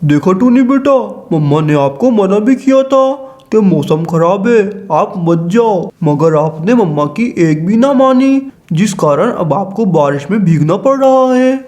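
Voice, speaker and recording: A man playing a gentle, caring father bird in a children's cartoon. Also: sits high, expressive, a little slow, few pauses. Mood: gentle, fatherly. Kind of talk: Hindi cartoon voice acting.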